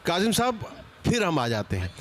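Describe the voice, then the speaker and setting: A man's voice: high voice, huge pitch swings, moderate pace, a crowd in the background. A man moderating a seminar in a conversational way, interjecting and putting questions to the panel. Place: seminar stage at a live event.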